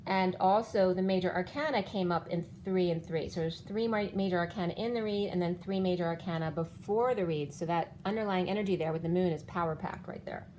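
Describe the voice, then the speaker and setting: A woman's voice: lowish voice, brisk, hardly any pauses, darkish tone, slight background noise, low-quality recording. A woman in her fifties giving a rambling spiritual tarot reading, walking through cards one by one while her voice keeps giving out. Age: 50s. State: losing her voice. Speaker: tarot reader.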